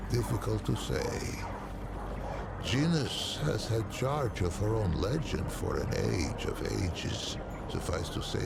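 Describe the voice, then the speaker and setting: Ancient, gravelly, bottomless-voiced storyteller creature. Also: male voice, heavy background noise, full character voice. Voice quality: very deep, gravelly. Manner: slow, stately, archaic storytelling with deliberate pauses. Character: ancient, learned non-human storyteller, male, wry and solemn. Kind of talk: scripted video game lore narration. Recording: music behind the voice. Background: prominent background music.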